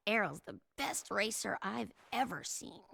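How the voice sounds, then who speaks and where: High-voiced, feisty young mechanic. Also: female voice, slow pace, creaky, noisy background, heavily acted. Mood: scornful. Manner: pointed, expressive. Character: feisty, reproachful young woman race mechanic. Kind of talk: video game cutscene acting.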